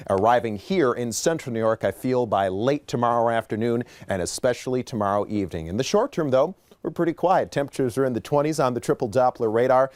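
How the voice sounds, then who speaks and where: A man's voice: medium pitch, a little mellow, dry recording. An American man presenting a broadcast weather forecast as a TV meteorologist in a conversational, explanatory way.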